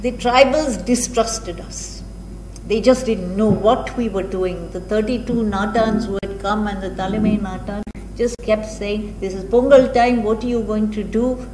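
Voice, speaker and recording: A woman in her sixties with an Indian accent gives a keynote address at a podium, telling anecdotes in a measured, deliberate way with frequent pauses. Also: mid-range voice, fairly even intonation, warm tone. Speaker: keynote speaker, retired senior police officer. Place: indoor hall at a podium. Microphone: gooseneck podium microphone. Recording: roomy echo, clear background noise.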